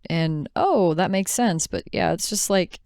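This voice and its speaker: A woman's voice: on the low side, measured pace, vocal fry, darkish tone, smooth, dry recording, studio quality. A woman co-hosting a podcast in casual, chatty conversation, reacting readily to what she hears. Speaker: podcast co-host. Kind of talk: casual chat.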